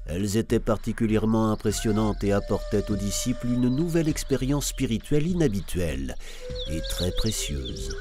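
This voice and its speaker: A man's voice: lowish voice, animated, moderate pace. A man narrating a cartoon story from a script in storybook style. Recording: music clearly behind the voice.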